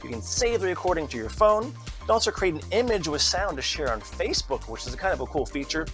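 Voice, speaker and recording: A man's voice: fairly high pitch, lively intonation, fast, a bit bright, faint music behind. A man hosting an app review show, giving a casual, chatty tutorial. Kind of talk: upbeat app tutorial narration.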